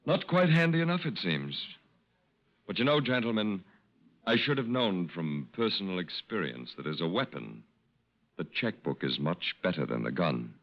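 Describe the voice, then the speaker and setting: A man's voice: low voice, very animated, normal speed, exaggerated voice. A man playing an urbane, unruffled confidence man posing as an army major, in 1940s radio drama style. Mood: wry, resigned. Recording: dull, band-limited old radio recording.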